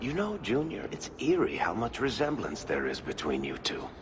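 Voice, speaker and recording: A man's voice: medium pitch, wildly swooping pitch, normal speed, noisy background. An actor voicing a roguish male dwarf in a video game, wry, confident and persuasive. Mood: needling, wry. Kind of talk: video game dialogue acting. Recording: game music behind the voice.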